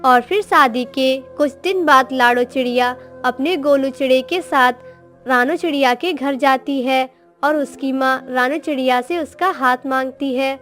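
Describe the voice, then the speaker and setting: Lady narrator telling a cartoon moral story, high voice, little music behind. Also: unhurried, a bit bright.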